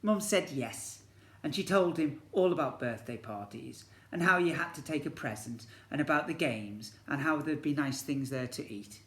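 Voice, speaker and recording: A man's voice: a little high, lively intonation, moderate pace, hardly any pauses, a bit bright. A man, a teacher reading a children's story expressively and breaking off to ask his young listeners questions. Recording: echoey room.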